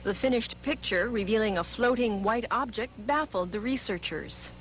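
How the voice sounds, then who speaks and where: A woman's voice: on the high side, normal speed, slight background noise. A woman reporter reading scripted narration for a TV feature. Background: loud music bed.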